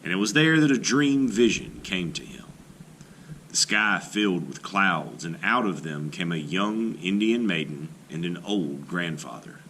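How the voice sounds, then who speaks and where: A man reading a children's folk tale aloud like a teacher, with deliberate, expressive phrasing. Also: sits low, a little hiss or hum, rough recording. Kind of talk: storybook read-aloud narration.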